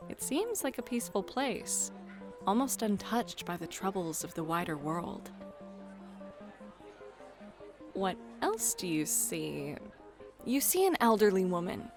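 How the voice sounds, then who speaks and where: A woman playing a friendly, flirty club hostess and game master in an ASMR roleplay, chatty and playful. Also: medium pitch. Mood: narrating, engaged. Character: friendly, flirty club hostess who runs tabletop games. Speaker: host and dungeon master.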